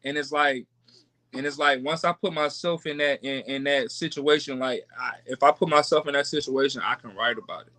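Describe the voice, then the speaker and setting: An American man in his late twenties talking casually as a podcast guest, animated and repeating phrases for emphasis. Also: medium pitch, a little fast. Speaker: podcast guest, creator of a miniseries. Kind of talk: casual conversation.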